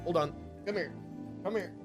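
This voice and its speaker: A man's voice: high-pitched, moderate pace, faint music behind. A man casually commentating a live game stream, chatting with viewers in rambling, informal gamer slang.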